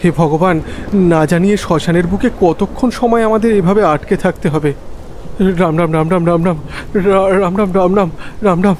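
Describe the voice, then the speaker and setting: A man's voice: sits high, fairly even intonation, normal speed, a little mellow, pitched above the performer's own voice, natural voice. A man voicing a timid, superstitious young villager, anxious and muttering, in an audio ghost story. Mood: frightened. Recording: noisy storm ambience behind.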